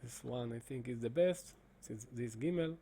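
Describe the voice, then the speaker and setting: Halting man with a mellow voice. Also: medium pitch, vocal fry, breathy edge. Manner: halting, many fillers and restarts. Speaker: presenter demonstrating software. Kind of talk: screen-recorded explanation.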